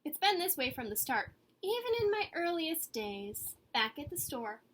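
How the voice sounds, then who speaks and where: A woman voicing an earnest, eager good egg telling its own story from a picture book, with expressive pauses and emphasis. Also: high-pitched. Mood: cheerful, proud. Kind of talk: picture-book read-aloud.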